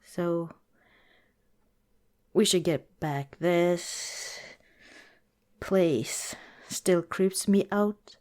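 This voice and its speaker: A woman playing a young, affectionate vampire girlfriend in an ASMR roleplay, speaking softly and hesitantly. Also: fairly low pitch. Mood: tender, hesitant. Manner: soft, tentative, halting, intimate.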